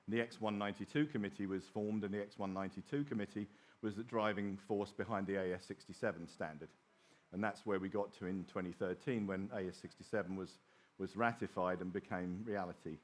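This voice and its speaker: A middle-aged man speaks as a presenter at a technical conference. His voice sits a little low. He explains things informally, slipping in wry asides. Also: brisk. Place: small open presentation theatre at a trade show.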